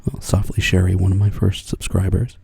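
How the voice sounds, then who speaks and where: An older man chatting softly in a whisper as an ASMR artist, rambling and soft-spoken. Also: low-pitched, monotone, brisk, vocal fry, husky, no echo, clean studio sound.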